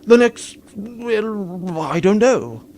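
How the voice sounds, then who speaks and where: A man's voice: high voice, expressive, drawn-out words, darkish tone, a little hiss or hum, full character voice. A man playing a cruel, flippant aristocratic duke, pompous and theatrical, in a comic sci-fi audio drama.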